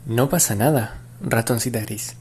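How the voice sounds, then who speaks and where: A man playing a suave young gentleman in his twenties, gently teasing and courteous. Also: medium pitch, measured pace, breathy edge, soft background music. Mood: teasing, reassuring.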